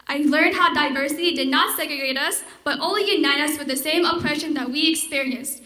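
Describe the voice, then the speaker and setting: A high school senior girl gives an earnest, formal speech at a podium mic. Her voice is high and bright, and the theater gives it a roomy echo. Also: fairly quick. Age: teens. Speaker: student speaker. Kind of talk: prepared speech.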